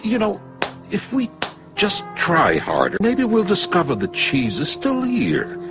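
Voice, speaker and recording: A man's voice: sits high, wildly swooping pitch, a little slow, heavily acted. A man voicing a stubborn, fearful little cartoon character who whines and protests in an exaggerated way over music. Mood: worried.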